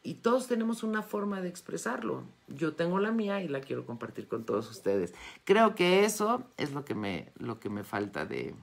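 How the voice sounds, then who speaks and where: A woman's voice: lowish voice, big pitch swings, moderate pace, vocal fry. A woman in her fifties with a Mexican accent, chatting casually and effusively with followers in a live session. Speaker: wellness and metaphysics teacher hosting a live Q&A. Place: indoors, home study with bookshelves. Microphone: phone or tablet at arm's length.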